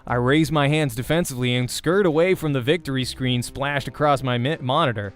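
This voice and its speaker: Guy reading a visual novel out loud for a lets play, expressive, mid voice, quiet game music. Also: medium pitch, brisk, smooth. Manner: acts out dialogue while narrating. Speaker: let's play host and voice actor. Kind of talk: expressive visual novel narration read aloud.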